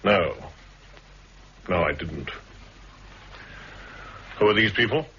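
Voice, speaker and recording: A man with a British accent playing a brisk, authoritative senior army brigadier in a 1960s radio drama, terse and measured. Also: low voice, expressive, a bit bright, exaggerated voice. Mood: stern. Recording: muffled, hissy 1960s radio recording.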